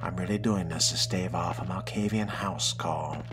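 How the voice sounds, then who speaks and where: A man giving dry, sardonic in-character commentary over a video game, deliberate and often hushed. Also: deep voice, fairly even intonation. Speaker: gamer playing in character. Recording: game music behind the voice. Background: video game music.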